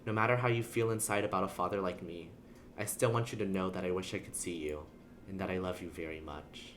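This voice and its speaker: Male game streamer, low register, casual and wry, reads aloud, faint noise and keyboard typing. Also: steady tone, brisk, a bit bright. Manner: playful, sarcastic asides. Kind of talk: casual livestream gameplay commentary, reading game text aloud.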